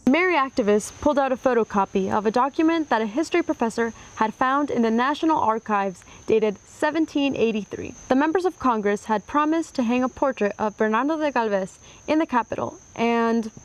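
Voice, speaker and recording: A woman narrating a children's history book aloud with clear, expressive storytelling. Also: on the high side, a little fast, rough recording.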